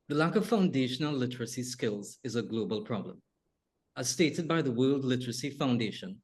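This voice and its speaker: Husky Trinidadian man hosting a talk show. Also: medium pitch, darkish tone. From Trinidad and Tobago. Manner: measured, reads a prepared essay. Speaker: podcast host. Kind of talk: scripted opinion monologue, then interview.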